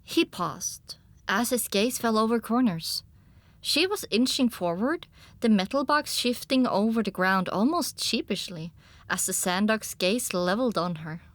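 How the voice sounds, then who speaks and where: A woman narrating a scripted first-person story for an audiobook in an expressive storytelling manner. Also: mid-range voice, unhurried, no room sound.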